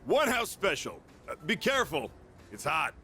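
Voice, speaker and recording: Bright, high-voiced restaurant server. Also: male voice, wildly swooping pitch, normal speed, soft background music, heavily acted. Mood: cheery service. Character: courteous, attentive male restaurant server. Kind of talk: video game voice acting.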